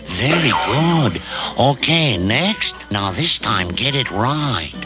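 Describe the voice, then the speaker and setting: A man's voice: mid-range voice, big pitch swings, takes it slowly. An actor voicing a bossy, scheming male crook in a 1960s TV cartoon, barking impatient orders. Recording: music bed under dialogue.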